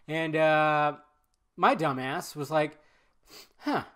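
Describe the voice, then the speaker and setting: Bright-voiced man, nasal from a cold. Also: a little high, slow, smooth. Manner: loose and chatty, many fillers and restarts. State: stuffy nose from a cold. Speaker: podcast host. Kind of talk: casual rambling chat.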